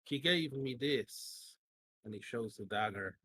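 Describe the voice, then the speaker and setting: A man voices an earnest old man in a tabletop fantasy game. The voice is husky and rough-edged but bright and pressed forward. It is recorded close and dry. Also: medium pitch, lively intonation, measured pace, dry recording. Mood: puzzled, earnest. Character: earnest old man named Jurgen, a follower left behind. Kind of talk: tabletop roleplay.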